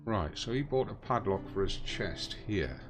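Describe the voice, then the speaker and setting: A man's voice: on the low side, expressive, unhurried. A man doing casual gameplay commentary, muttering short remarks to himself and to the game. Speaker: game streamer. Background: game music and horse hoof sounds.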